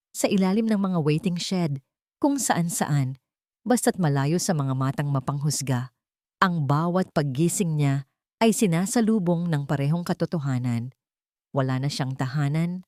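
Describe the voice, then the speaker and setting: A Filipino woman narrating a dramatic story as an audiobook, in a measured storytelling manner. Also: sits low, a little mellow, dry recording, studio quality.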